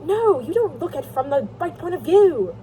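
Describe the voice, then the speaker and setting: A woman's voice: sits high, very animated, normal speed, mellow, a little hiss or hum, pitched above the performer's own voice. A woman reading an audiobook, voicing a patronizing, opinionated educated elder brother in a British accent, with pompous emphasis. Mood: insistent. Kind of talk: amateur audiobook character reading.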